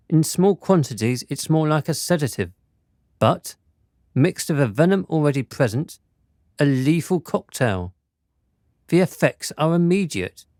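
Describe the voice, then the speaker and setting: An actor playing a courteous, precise physician in a period radio drama, speaking in formal, measured phrases. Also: male voice, a little high, darkish tone, no room sound, clean studio sound. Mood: earnest, reassuring.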